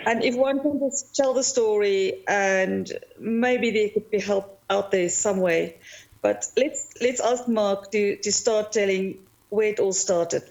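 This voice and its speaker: A woman's voice: mid-range voice, vocal fry, darkish tone, highs cut off. A woman from South Africa with a South African accent, speaking earnestly and a little hesitantly as a guest co-host. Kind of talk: remote podcast interview.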